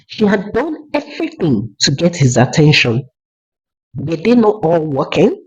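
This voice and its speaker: A storyteller narrates a scripted folktale at an unhurried, measured pace. The pitch is medium and rises and falls in a lively way. The recording is slightly dull and lo-fi. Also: slightly rough.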